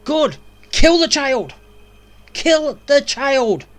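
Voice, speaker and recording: A woman's voice: sits high, sing-song, very slow. A woman doing casual, playful gameplay commentary, thinking aloud and reacting to dialogue. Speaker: gameplay streamer. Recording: game music behind the voice.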